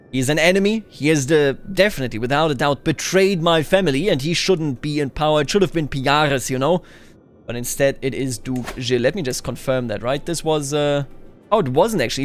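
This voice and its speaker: A man gives casual roleplay gameplay commentary, musing aloud and slipping into in-character boasting. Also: mid-range voice. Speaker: video game let's player. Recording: background music under the voice. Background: music.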